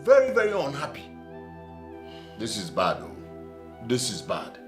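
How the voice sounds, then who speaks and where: A man's voice: medium pitch, wildly swooping pitch, a little slow. A man playing an indignant elder brother, stammering, music under the voice. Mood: wounded. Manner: indignant, stammering when angry. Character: proud angry elder brother, middle-aged man.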